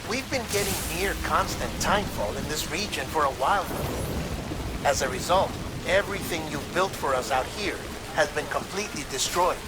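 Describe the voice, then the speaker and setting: Male actor as earnest game operations officer, higher male voice, measured briefing, articulate, prominent music behind. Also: animated, natural voice. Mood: businesslike. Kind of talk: video game dialogue, mission briefing.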